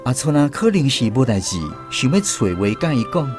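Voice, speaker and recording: A man's voice: mid-range voice, sing-song, fairly quick, lightly acted. An actor with a Taiwanese accent narrating a radio drama as a man recalling his army days, in a storytelling manner. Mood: reflective storytelling. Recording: music behind the voice.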